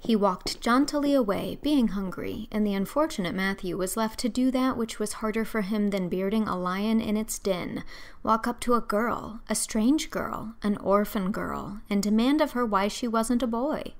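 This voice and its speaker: A woman reading scripted audiobook narration, expressive and carefully phrased. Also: medium pitch, moderate pace.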